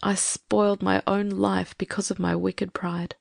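A woman voicing a proud, frail elderly spinster who has been humbled by illness, speaking gently and reflectively. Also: mid-range voice, moderate pace, vocal fry, dry recording, studio quality, natural voice. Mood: wistful. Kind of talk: audiobook character reading.

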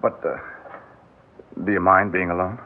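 An actor playing a harried, caring husband on edge, coaxing and wry, in old radio drama style. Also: male voice, deep voice, flat delivery, normal speed. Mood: soothing. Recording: tinny, band-limited old radio recording.

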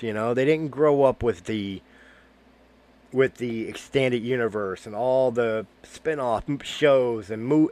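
A man in his forties, getting over a cold, giving a rambling casual review with lots of filler words and self-corrections. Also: medium pitch. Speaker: fan reviewer.

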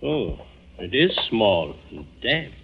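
An actor playing an alert, dutiful German lieutenant in a 1940s radio suspense drama, terse and watchful. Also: male voice, fairly low pitch, big pitch swings, slow, gravelly, full character voice. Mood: wary. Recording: dull, noisy old 1940s radio recording.

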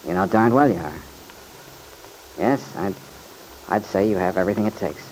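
A man's voice: deep voice, expressive, moderate pace, darkish tone. An actor playing an easygoing, modest young country man with an American accent, folksy and a little bashful, in old-time radio drama. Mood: shyly admiring. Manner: folksy, slightly bashful, hesitant. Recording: noisy vintage radio recording.

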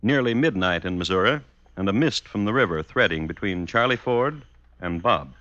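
A man with an American accent narrating an old-time radio drama in a deliberate, wry, mock-formal way. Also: on the low side, animated, a bit bright, no echo, muffled recording.

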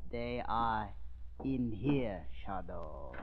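An actor playing a loyal, wary old manservant in a 1940s radio drama, speaking broken pidgin deferentially. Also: male voice, lowish voice, expressive, drawn-out words, noisy background. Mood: hushed, loyal. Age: older. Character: loyal old male native servant, humble, wary. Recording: dull vintage radio recording.

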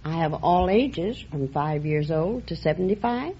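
A woman's voice: fairly low pitch, animated, unhurried, mellow. A woman who teaches whistling, answering a quiz host's questions plainly and with some hesitation. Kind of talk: game show interview. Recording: dull old radio broadcast with heavy hiss.

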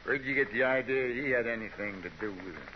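An actor playing a defiant, bullying cattle boss with a folksy drawl in a 1950s radio western. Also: male voice, mid-range voice, fairly quick, natural voice. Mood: defiant, menacing. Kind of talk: 1950s radio drama acting. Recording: noisy, dull 1950s radio recording.

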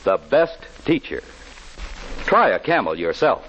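A man's voice: medium pitch, very animated, a little slow, very noisy. A man delivering a 1940s radio commercial as a polished, persuasive, emphatic announcer. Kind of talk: 1940s radio advertising pitch. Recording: dull vintage radio recording.

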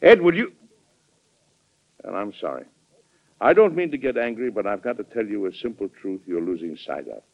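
A firm, fatherly American president in a vintage radio drama. His low voice rises and falls widely as he argues with earnest authority. The recording is dull and dated. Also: big pitch swings, moderate pace, creaky, long pauses, exaggerated voice. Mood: stern, exasperated. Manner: earnest, authoritative, hesitant at times. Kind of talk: old-time American radio drama acting.

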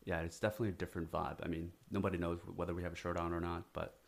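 A man with a very deep voice hosts a podcast in a friendly, laid-back manner. He speaks a little haltingly as he welcomes his guest and asks for his story. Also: little pitch movement, gravelly. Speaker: podcast host. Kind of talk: casual interview.

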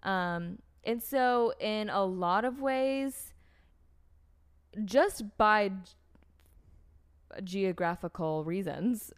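Chatty American woman with a smooth voice. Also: mid-range voice, animated, drawn-out words, pauses often. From United States. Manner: rambling, heavy use of "like" and "um", frequent restarts. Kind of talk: casual conversational storytelling.

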